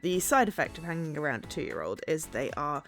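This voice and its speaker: A woman chatting as she streams a game, a bit hoarse from a sore throat, bubbly and quick to laugh. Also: sits low, very animated, soft background music. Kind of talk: casual game-stream chat.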